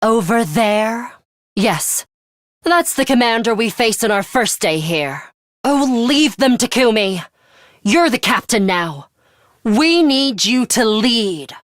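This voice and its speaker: Game role: stern, aloof young female fighter, cool and commanding, very measured pace, close dry sound. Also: fairly high pitch, lively intonation, no room sound. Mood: stern, urgent. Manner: curt, cool, deliberate, commanding. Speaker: voice actress. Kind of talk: scripted video game character lines.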